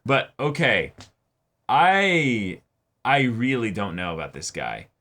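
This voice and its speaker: A man co-hosting a casual anime reaction discussion, animated and swearing freely, often acting out characters' lines. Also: medium pitch, slow. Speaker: co-host of a reaction show.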